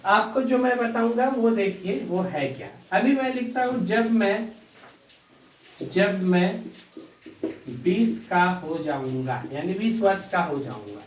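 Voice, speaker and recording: A man's voice: sits high, expressive. Echoey, distant male grammar teacher. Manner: slow and deliberate, dictating sentences as he writes, repeating key phrases; addresses the class as dear students. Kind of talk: bilingual grammar lesson. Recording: echoey room, distant mic, faint hiss.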